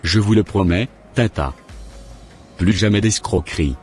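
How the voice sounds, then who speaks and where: A man playing a wayward, sheepish young swindler with a husky voice in an amateur cartoon, music behind him. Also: lowish voice, unhurried, a little mellow, close to an everyday voice. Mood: subdued, remorseful. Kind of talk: amateur animated drama acting. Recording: background music.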